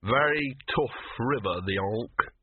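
Man doing a hoarse, boozy little cynic of a dog. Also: medium pitch, lively intonation, takes it slowly, no echo, phone-quality sound. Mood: bleary muttering. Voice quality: small, hoarse voice. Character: small scruffy cynical talking male dog, self-pitying drunk. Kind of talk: audiobook character voice.

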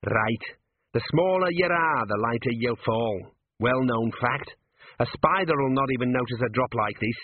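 A man's voice: mid-range voice, a little slow, phone-quality sound, a little hiss or hum, lightly acted. Man voicing a cocky, tiny, tough gnome. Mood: breezy, explaining. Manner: blunt, matter-of-fact, colloquial. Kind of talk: audiobook character voice. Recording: band-limited, radio-like sound.

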